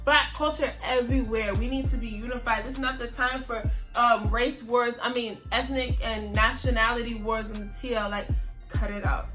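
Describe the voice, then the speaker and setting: A young American woman hosting a casual chat, animated and emphatic, often repeating her phrases. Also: fairly high pitch, normal speed, music in the background. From United States. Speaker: host.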